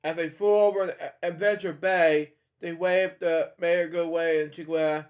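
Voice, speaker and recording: Man, high voice, reading a children's book, stumbling restarts, chatty asides. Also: steady tone. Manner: halting, with frequent restarts and filler comments. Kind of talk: storybook reading with rambling asides.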